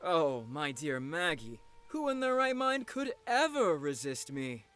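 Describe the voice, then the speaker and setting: A woman's voice: sits low, big pitch swings, a little slow, fairly bright tone, soft background music, full character voice. A woman playing a cheeky, free-spirited young male student in an audio drama, teasing and flamboyant. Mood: cocky, teasing.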